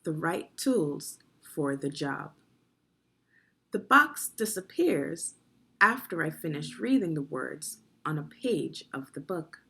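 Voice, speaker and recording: A woman with a slightly low, husky voice reads a nonfiction book aloud for children. She speaks slowly and deliberately, like a teacher guiding young learners, with lively rises and falls in pitch. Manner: deliberate, clearly phrased, guiding young listeners with questions. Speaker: teacher narrating a children's read-along video.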